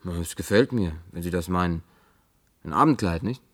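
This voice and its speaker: A man's voice: low-pitched, normal speed. An actor in a German radio play playing a plain-spoken, skeptical, restrained man with a terse, wry, questioning manner. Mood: wary.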